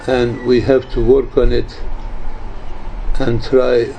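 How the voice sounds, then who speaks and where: Man in his nineties, slow halting speech, mellow tone, religious teacher, emphatic repetition, informal spiritual talk. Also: medium pitch, level tone, gravelly. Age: 90s. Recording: dull lo-fi recording, faint background noise.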